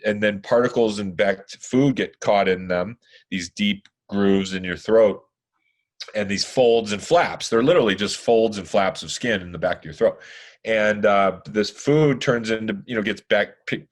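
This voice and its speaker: A man in his forties with a deep, hoarse voice, talking at length as a podcast guest, rambling with lots of fillers and restarts. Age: 40s. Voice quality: deeper, hoarse voice. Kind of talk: casual chat.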